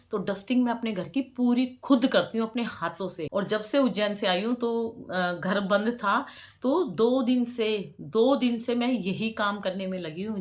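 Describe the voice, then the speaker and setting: Chatty, preachy woman in her forties. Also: mid-range voice. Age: 40s. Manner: chatty and preachy, repeating words for emphasis. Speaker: vlogger. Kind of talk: casual commentary to subscribers.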